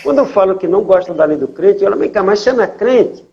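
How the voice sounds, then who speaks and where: A man's voice: sits high, animated, very fast, warm tone, highs cut off. An older man from São Paulo with a São Paulo accent, speaking as a missionary and telling stories in an interview, leaning on fillers and tag questions. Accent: São Paulo Portuguese. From São Paulo, Brazil. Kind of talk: conversational testimony interview.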